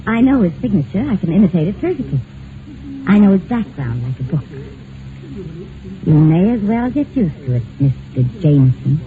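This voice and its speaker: An actress playing a cool, scheming estranged wife who blackmails in an old-time radio mystery, coolly insinuating. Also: female voice, lowish voice, big pitch swings, moderate pace. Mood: smug, threatening. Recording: tinny, noisy vintage radio recording.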